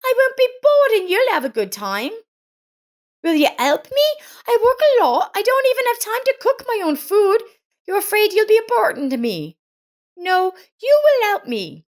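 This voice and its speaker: Woman putting on a high, eager, kindly neighbor lady. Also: sing-song, normal speed. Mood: insistent, friendly. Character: kind, insistent woman neighbor, concerned and chatty.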